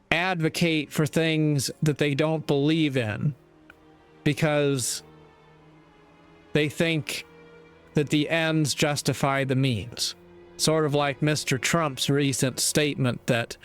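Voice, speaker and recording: A man giving reflective spoken commentary in an earnest, deliberate manner, pausing mid-sentence. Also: fairly high pitch, smooth. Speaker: speaker giving moral commentary.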